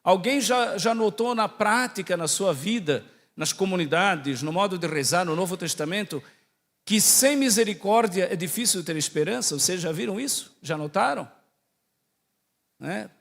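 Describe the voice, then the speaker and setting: Brazilian priest guy w santa catarina accent, pretty high voice, chatty preaching with lots of questions, long hall reverb. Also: animated, moderate pace, vocal fry, a bit bright. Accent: Santa Catarina Brazilian, close to Portuguese. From Santa Catarina, southern Brazil. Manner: didactic, asks the audience questions and checks in often. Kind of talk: conversational religious talk to a congregation. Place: large echoing hall.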